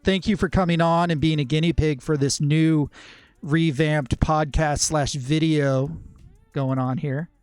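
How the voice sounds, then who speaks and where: A man's voice: a little high, steady tone, a little slow, darkish tone, smooth, no echo, faint music behind. A man hosting a podcast, asking questions in a casual, conversational interview style. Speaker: podcast host.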